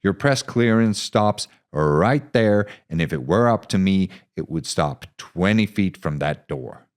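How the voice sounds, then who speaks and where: A man's voice: low voice, lively intonation, measured pace, clear, resonant tone, voice pushed up. A man voicing a stern, hostile army captain in an audio story, barking with contempt for the press. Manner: barking, scornful.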